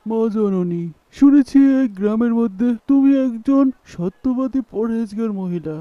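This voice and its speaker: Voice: mid-range voice, unhurried, no echo, close to an everyday voice. A performer voicing a polite, formal travelling man in a cartoon, in a dull recording. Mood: polite, earnest. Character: courteous travelling man entrusting a bundle. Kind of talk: cartoon voice acting.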